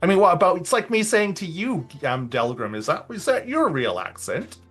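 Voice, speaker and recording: A man's voice: high-pitched, big pitch swings, normal speed, fairly bright tone, faint music behind. A man roleplaying a ship captain in a tabletop game, talking in a rambling, hesitant way. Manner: rambling, hesitant, full of "I mean".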